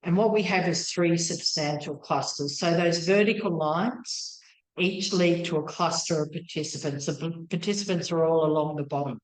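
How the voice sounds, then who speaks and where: The low, tiring voice of a woman researcher feeling her way apologetically through an evening society lecture in an echoey meeting room. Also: moderate pace. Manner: tentative, self-questioning, apologetic. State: losing her voice, throat-clearing. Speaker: PhD researcher presenting a talk. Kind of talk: academic lecture. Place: indoor meeting room with audience.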